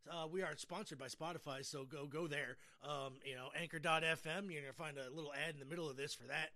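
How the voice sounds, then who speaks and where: A man's voice: fairly high pitch, steady tone, fast, sharp tone. A middle-aged American man hosting a podcast in casual, jokey, rambling chat. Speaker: podcast host.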